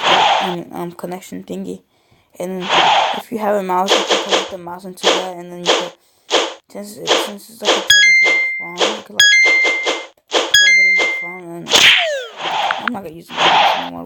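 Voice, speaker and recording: A young woman casually talking while playing a phone game, rambling and joking about herself, with loud noise behind her. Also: on the low side, creaky. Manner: rambling, self-deprecating, easily distracted. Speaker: gamer recording a mobile game. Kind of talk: casual gameplay commentary. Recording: loud background noise with clicks.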